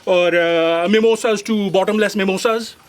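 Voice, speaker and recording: A woman's voice: mid-range voice, fairly even intonation, normal speed, natural voice. A woman playing an exasperated, incredulous editor-in-chief in a comic sci-fi audio drama. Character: exasperated female editor-in-chief of a cosmic book. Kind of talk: audio sci-fi comedy acting.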